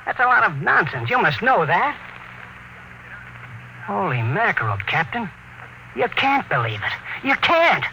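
A man's voice: high voice, sing-song, unhurried, long pauses, pushed and bright, heavily acted, rough recording. An actor playing an earnest, anxious space crewman in a 1950s radio drama, pleading and incredulous with his captain. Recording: old radio recording with faint noise.

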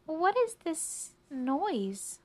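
Woman voicing an exasperated, high-pitched little mouse. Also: a little slow. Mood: annoyed. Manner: pleading, exclamatory. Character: sleepy, pleading female mouse, increasingly exasperated.

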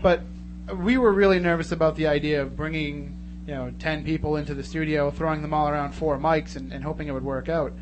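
Chatty male radio host, fairly high voice, casual live interview delivery, clearly noisy humming studio recording. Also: steady tone, a little fast. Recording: radio studio, loud noise and hum.

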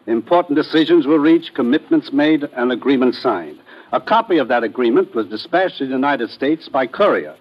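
An actor playing a brisk, authoritative government commissioner in an old 1950s radio drama. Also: male voice, mid-range voice, animated, husky. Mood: businesslike. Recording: muffled old radio recording.

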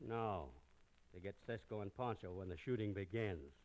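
An actor playing a bossy, scheming banker villain in an old radio western, speaking coldly and deliberately. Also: male voice, fairly low pitch, a little mellow, a little hiss or hum, close to an everyday voice. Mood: cold, commanding.

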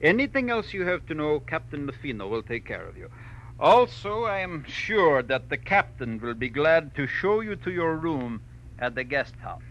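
An actor playing an exacting middle-aged millionaire mine owner in a 1940s radio drama, emphatic and deliberate in his phrasing. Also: a little high, very animated, fairly bright tone. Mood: businesslike. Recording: dull, hissy old radio recording.

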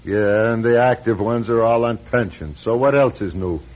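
An actor playing a blunt, weary old priest in a radio drama, husky and sardonic, on a muffled recording. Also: male voice, lowish voice, moderate pace, close to an everyday voice. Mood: exasperated. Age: older. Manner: gruff, sardonic, plain-spoken. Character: blunt, weary, grumbling old Irish-American parish priest.

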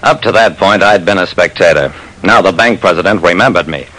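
A man's voice: sits low, fairly quick, very noisy. A man narrating a classic radio detective drama as its lead, in a wry, dramatic first-person storytelling manner.

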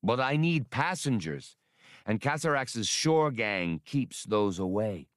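A man's voice: mid-range voice, big pitch swings, slow, no echo, studio quality. A man voicing a huge, battle-scarred old creature in a storybook, proud and defiant, speaking formally with a whistling voice. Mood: defiant, resolute. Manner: solemn, formal, declamatory.

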